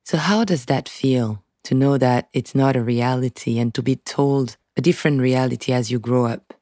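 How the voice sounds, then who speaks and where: A woman interviewing a guest, asking calm, slow questions. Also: rumbling low, no echo, studio quality.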